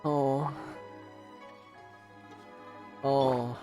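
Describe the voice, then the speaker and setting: A man hosting a gaming playthrough, reacting in casual, emotive commentary with gushing exclamations and mellow asides. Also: mid-range voice, flat delivery, extremely slow, faint music behind. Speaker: gaming video host.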